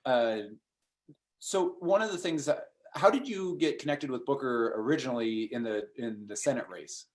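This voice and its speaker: Man, around forty, interview host, hesitant, many fillers, medium pitch. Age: 40s. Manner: halting, many ums and restarts. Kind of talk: casual interview hosting.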